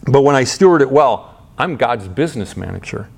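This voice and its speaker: A middle-aged man preaching in a relaxed, conversational manner. He poses rhetorical questions to his listeners and often restarts his sentences, moving between soft, low asides and brighter, raised points. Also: moderate pace, gravelly, breathy edge. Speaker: pastor. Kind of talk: sermon.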